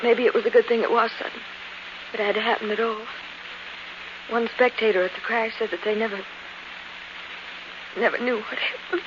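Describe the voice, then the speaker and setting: An actress playing a polite, uneasy young niece, hesitant and earnest, in a 1940s radio drama. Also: female voice, a little high, fairly even intonation, moderate pace, long pauses, close to an everyday voice. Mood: grieving. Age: young adult. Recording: hissy, muffled 1940s radio broadcast.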